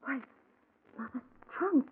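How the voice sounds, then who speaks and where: An actress playing a worried, grieving but stubborn young wife in 1940s radio drama style. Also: female voice, takes it slowly, lightly acted. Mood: curious, subdued. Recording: tinny 1940s radio recording.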